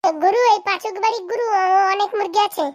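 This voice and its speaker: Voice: very high-pitched, fairly even intonation, fast. A performer voicing an eager, fawning young village boy who trails after his boss in a comic cartoon. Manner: deferential, chatty, fawning.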